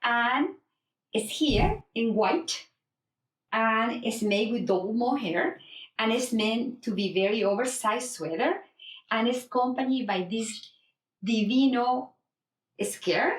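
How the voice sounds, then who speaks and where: A woman's voice: fairly high pitch, slow pace. A woman from El Salvador, a knitwear designer presenting her work in simple, phrasing. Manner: non-native phrasing, simple direct sentences. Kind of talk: casual spoken presentation. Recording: roomy, from a distance.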